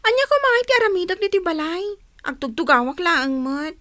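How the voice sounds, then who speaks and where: A bratty teen daughter, really high whiny voice, swings all over, ilocano radio drama. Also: female voice, very animated, fairly quick, bright, forceful tone, no room sound, studio quality, full character voice. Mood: sulky, offhand. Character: lazy, pouty teenage daughter, stubborn.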